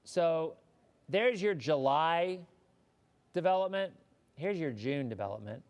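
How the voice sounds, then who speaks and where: A hoarse man hosting a live weather show, chatting casually and answering viewer questions, often repeating words as he thinks. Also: a little high, animated, takes it slowly, a little mellow.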